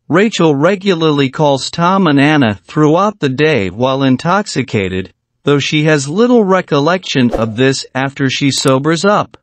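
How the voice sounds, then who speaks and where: A man reading a scripted plot summary of a film in a steady, even voice-over. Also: fairly high pitch, dry recording. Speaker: movie recap narrator.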